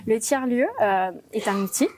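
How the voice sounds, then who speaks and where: A woman from an interview, speaking with a French accent in a fairly high voice. She explains things in a didactic way, setting out each point in turn as a project lead would. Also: moderate pace, darkish tone. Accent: French (France). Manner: didactic, builds points step by step.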